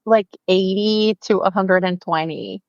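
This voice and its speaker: Brazilian-accented woman, interview guest; warm, chatty, unhurried, dull dry recording. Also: mid-range voice, dry recording. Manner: friendly, chatty, many fillers and restarts. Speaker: family photographer, guest. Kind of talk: casual interview chat.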